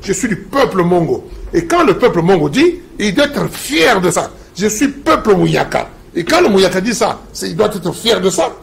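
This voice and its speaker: A man's voice: sits high, big pitch swings, normal speed, vocal fry, few pauses. A man, a professor lecturing emphatically in a TV debate. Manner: emphatic, lecturing, repeats slogans.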